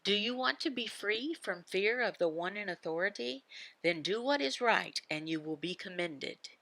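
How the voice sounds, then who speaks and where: Woman doing a bible devotional, bright gravelly voice, short choppy phrases, asks questions then answers them. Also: medium pitch, lively intonation, moderate pace, no room sound. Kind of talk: devotional teaching voicing a signed sermon.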